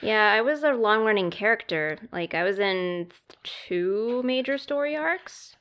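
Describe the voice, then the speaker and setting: A woman voice actor with a higher voice, chatting casually on a podcast with lots of fillers. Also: lively intonation, a little slow, fairly bright tone, clean tone. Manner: chatty, filler-heavy, easygoing.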